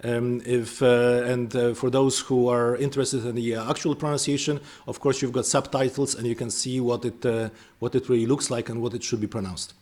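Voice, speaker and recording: A man's voice: medium pitch, little pitch movement, brisk, hardly any pauses, darkish tone. A man hosting and narrating a scripted explainer, breaking off into hesitant, filler-heavy asides. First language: not French. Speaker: host.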